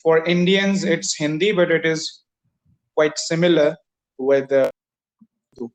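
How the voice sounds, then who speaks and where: Soft-spoken man asking careful questions. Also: on the high side, poor audio. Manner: asks short questions, measured and careful. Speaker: host, English learner. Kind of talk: casual video-call chat. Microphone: video call.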